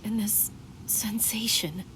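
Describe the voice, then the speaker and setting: An actress playing a solemn, proud female warrior in a video game, speaking in formal, measured phrases. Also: medium pitch, steady tone, very noisy, lightly acted. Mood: stunned, pondering. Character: solemn, composed female Soul Reaper warrior, proud and grave. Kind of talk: dramatic English video game voice acting.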